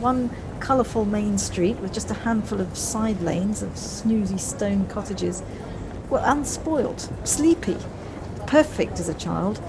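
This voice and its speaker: A woman's voice: medium pitch, expressive, takes it slowly. A woman acting a flustered, wistful middle-aged woman in an audio play, with hesitant, reflective speech that repeats words. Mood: wistful, fond. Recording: noisy home recording on personal device.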